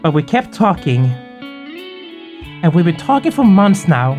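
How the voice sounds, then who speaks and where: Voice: mid-range voice, sing-song, unhurried, a little mellow. A game host with a mid-range, androgynous voice talks through a visual novel in an informal, candid way. The delivery is casual and slightly hesitant, moving between chat and read-aloud text. Faint plucked guitar music plays behind the voice.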